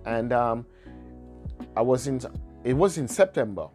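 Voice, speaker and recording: Jamaican man, mid pitch, easygoing storyteller, repeats lines, faint music under the talk. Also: unhurried. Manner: relaxed storytelling, repeats phrases. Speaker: guest. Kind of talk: casual chat.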